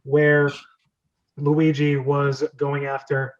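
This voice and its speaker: A man's voice: on the high side, monotone, very slow. A man hosting a script reading, chatting casually and jokily as he explains and directs. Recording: dull, lo-fi sound.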